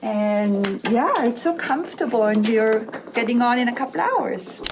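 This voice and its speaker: A woman's voice: a little high, expressive, normal speed. A middle-aged woman from Austria, a makeup artist chatting upbeat in a casual vlog and talking through her products. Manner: chatty and upbeat, with fillers like "you know". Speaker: makeup artist and beauty brand founder. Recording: distant phone mic with background noise.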